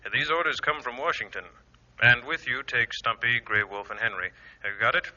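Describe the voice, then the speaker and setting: A man's voice: mid-range voice, a little fast, pushed and bright, dull audio. A man playing an authoritative, fatherly colonel giving orders in an old-time radio drama. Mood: commanding, solemn. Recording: band-limited old radio recording.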